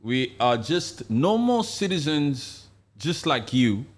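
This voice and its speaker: A man's voice: mid-range voice, sing-song, takes it slowly. A man from Africa co-hosting a casual live stream, talking with passion and repeating his points for emphasis. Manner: impassioned, emphatic, repeats phrases. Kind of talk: casual political chat.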